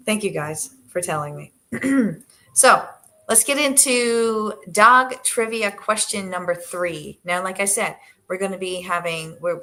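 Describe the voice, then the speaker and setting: A woman with a soft, gentle voice that sits a little low talks to her livestream viewers from the living room sofa. She hesitates often, restarting phrases with plenty of fillers, and her voice tends to trail off. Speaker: livestream host with her dogs. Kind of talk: casual live chat to viewers.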